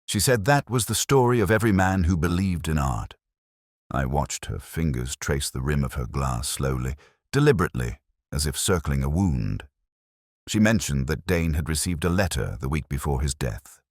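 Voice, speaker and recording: A man reading a first-person detective story as scripted narration, in a measured, literary storytelling manner. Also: rumbling low, animated, no echo, clean studio sound.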